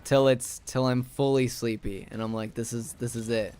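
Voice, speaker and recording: A young man streaming a game, sleepy and a little raspy, rambling casually to chat as he plays. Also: medium pitch, steady tone, normal speed, a little mellow, a little hiss or hum. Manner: rambling, talks to chat while playing.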